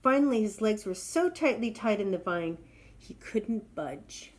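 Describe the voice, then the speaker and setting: A woman's voice: medium pitch, lively intonation, measured pace, darkish tone, a little hiss or hum. A woman storyteller reading a children's tale aloud in a dramatic, emphatic way.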